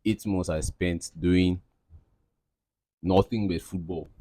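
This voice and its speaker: Young male interviewee, very deep voice, loose rambling answers, dry close recording. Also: steady tone, slow, mellow, no echo. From Cameroon. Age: young adult. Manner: rambling, many fillers and restarts. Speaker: interviewee, aspiring footballer.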